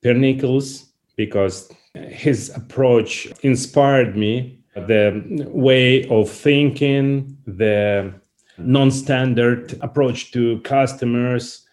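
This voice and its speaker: A man from Russia with a Russian accent, answering interview questions in halting, non-native English. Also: mid-range voice, a little mellow. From Saint Petersburg, Russia. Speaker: interview guest, company founder.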